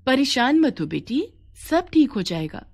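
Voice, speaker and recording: A woman's voice: high voice, big pitch swings, measured pace, creaky, a little mellow, pitched above the performer's own voice, full character voice. A woman voicing a stern but caring, hard-pressed mother in a cartoon story. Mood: firm, consoling.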